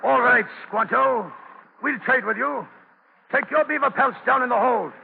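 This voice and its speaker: A greedy, scheming sea captain and slaver in an old radio drama. The voice is very gravelly and pitched high for a man. It comes through a thin, tinny 1940s broadcast recording. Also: a little slow, slight background noise, heavily acted. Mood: coaxing.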